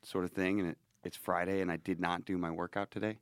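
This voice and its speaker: A man's voice: low-pitched, level tone, fast talker, no room sound, studio quality. A man hosting a casual podcast, talking through a cold with a stuffy, nasal voice and rambling, self-deprecating asides. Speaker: podcast host.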